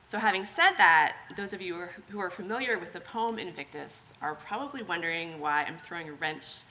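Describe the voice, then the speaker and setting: Middle-aged woman, bright forceful voice, fairly low pitch, composed prepared memorial speech, background noise. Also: fairly quick, poor audio. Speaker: family member giving a memorial reading. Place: memorial gathering at a retirement community.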